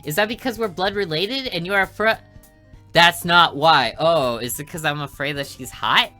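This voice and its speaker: A young man hosts a gaming video in a bright voice. He gives casual commentary and rambles into joking asides. Music plays quietly behind him. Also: fairly high pitch, moderate pace.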